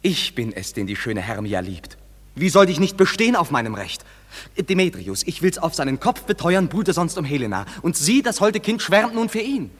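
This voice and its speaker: A man's voice: fairly high pitch, big pitch swings, moderate pace, big-room sound. An actor playing a passionate young nobleman in love, declaiming lyrically in a classical verse radio drama. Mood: proud, defiant. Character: ardent, lovelorn young nobleman, defiant suitor.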